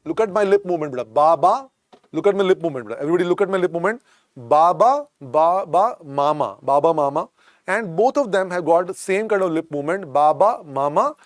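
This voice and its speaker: A man's voice: a little high, animated, brisk, no echo, clean studio sound. A man with an Indian English accent teaching a medical exam class, drilling each point over and over and calling students "beta". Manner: repetitive, drills phrases, calls students "beta". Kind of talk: classroom lecture. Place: lecture room.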